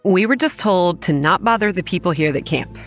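An actress playing a self-assured young woman who manages a campground, narrating a horror audio drama in a measured, matter-of-fact way. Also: fairly low pitch, animated, soft background music. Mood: measured, reminiscing. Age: young adult. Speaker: narrator and lead character. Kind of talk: scripted first-person horror drama narration.

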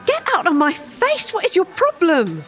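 A woman's voice: very high voice, huge pitch swings, normal speed, faint music behind, exaggerated voice. An actress playing a hostile, sweary, defiant woman in an audio drama, brash and confrontational. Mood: indignant, irritated.

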